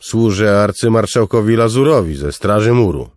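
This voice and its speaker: A man's voice: on the low side, lively intonation, moderate pace, a little mellow, dry recording, clean studio sound, pitched above the performer's own voice, close to an everyday voice. A man voicing a firm, weary city guard captain in an audiobook, insistent and exasperated.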